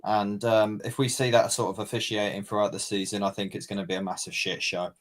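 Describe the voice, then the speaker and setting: A man giving his football opinions as a podcast guest, slow and emphatic, indignant about refereeing. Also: lowish voice, level tone.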